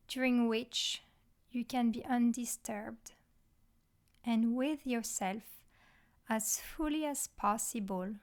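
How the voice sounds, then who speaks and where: A woman teaching mindfulness, leading a guided meditation in measured short phrases with pauses between them. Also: on the high side.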